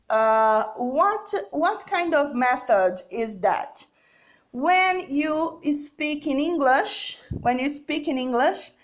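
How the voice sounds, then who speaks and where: A young adult woman from Brazil with a Brazilian Portuguese accent, teaching an English lesson on a live stream, slow and deliberate with many fillers and check-ins. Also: a little high, highs cut off.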